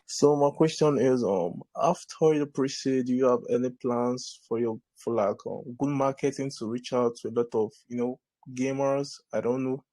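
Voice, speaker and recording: A man calling in to ask a question, talking casually and excitedly with slang. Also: mid-range voice, moderate pace, hardly any pauses, no room sound, rough recording. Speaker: caller asking a question.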